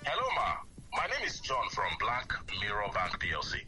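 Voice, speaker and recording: An actor playing a phone scammer posing as a bank official, speaking in a smooth, overly polite, persuasive way. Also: male voice, fairly low pitch, huge pitch swings, moderate pace, pushed and bright, soft background music, full character voice, rough recording. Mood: wheedling. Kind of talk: radio skit acting.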